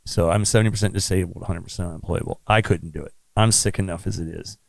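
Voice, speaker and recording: A man with a low, raspy voice talks bluntly and at length, spinning stories as a podcast guest. The sound is dry and close, with no room around it. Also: steady tone, fast, no room sound. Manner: blunt, chatty storyteller. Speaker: musician guest. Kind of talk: casual podcast interview.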